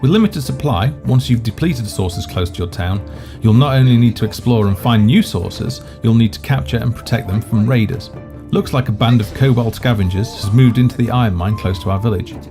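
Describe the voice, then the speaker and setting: A man presenting a video game in scripted walkthrough narration, measured and explanatory. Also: medium pitch. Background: background music.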